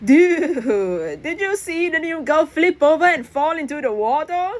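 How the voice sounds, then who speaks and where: A woman's voice: high-pitched, very animated, unhurried, a little mellow, pitched above the performer's own voice. A woman voicing a playful, teasing schoolboy best friend in a storybook, with slangy, exclamatory talk. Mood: amused.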